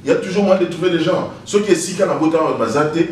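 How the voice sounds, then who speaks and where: A man in a TV studio discussion, animated, repeating key phrases and switching into Lingala. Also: fairly high pitch, very fast. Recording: echoey, distant mic with faint noise.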